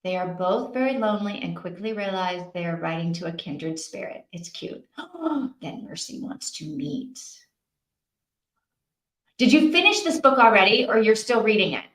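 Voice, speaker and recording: A woman's voice: mid-range voice, expressive, moderate pace, a bit bright. A woman chatting casually and expressively to her livestream viewers as host, thinking aloud as she goes. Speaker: host of a live reading-sprint stream. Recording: roomy, echoey sound.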